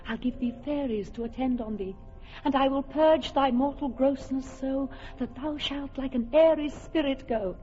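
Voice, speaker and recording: A woman's voice: sits high, muffled recording. An actress playing a proud, doting fairy queen who speaks lyrical verse in a classic radio drama. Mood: commanding. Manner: lyrical, imperious verse delivery.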